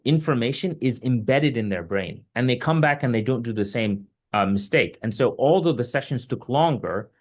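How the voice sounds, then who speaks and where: A man who grew up in Egypt, speaking as an invited surgical expert, giving earnest, emphatic explanatory answers in a webinar question session. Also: medium pitch.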